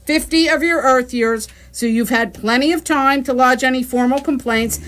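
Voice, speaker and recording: A woman's voice: sits high, moderate pace, a bit bright. A woman playing an officious, condescending, impatient alien bureaucrat in a comic science fiction radio play. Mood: exasperated. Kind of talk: radio comedy drama acting.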